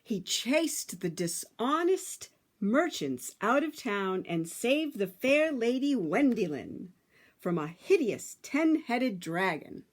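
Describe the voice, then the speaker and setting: A woman reading a picture book aloud to children, with dramatic, emphatic phrasing and drawn-out words. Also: on the high side, big pitch swings.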